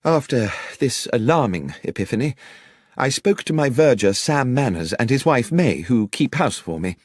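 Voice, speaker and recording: A man's voice: mid-range voice, very animated, moderate pace, no echo, clean studio sound. A man voicing an anxious, courteous young country clergyman in an audiobook, speaking in articulate, polite, wordy sentences. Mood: earnest, recounting. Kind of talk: audiobook character dialogue.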